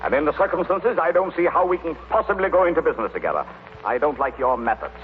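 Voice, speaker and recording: Through a thin, slightly noisy old radio recording, an actor plays an indignant man of business. He is pompous and formal, with a lively, fairly high voice and old-time radio delivery. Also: fast, narrow, tinny audio, natural voice. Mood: curt. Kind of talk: 1930s-40s radio acting.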